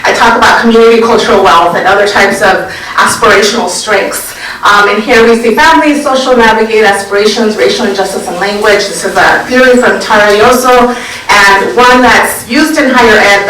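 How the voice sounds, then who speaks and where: A woman from California's Central Valley giving a prize acceptance talk with slides, speaking thoughtfully with frequent "um" pauses. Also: fairly high pitch, a bit bright, a little hiss or hum. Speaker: award recipient and education researcher. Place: lecture hall at an award event. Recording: echoey room, distant mic.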